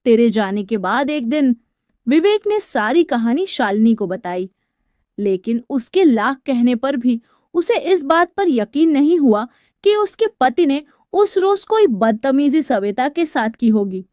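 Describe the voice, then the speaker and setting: A woman's voice: high voice, sing-song, measured pace, darkish tone, no echo. A woman narrating a scripted story in a dramatic storytelling style, recorded as a close voice-over.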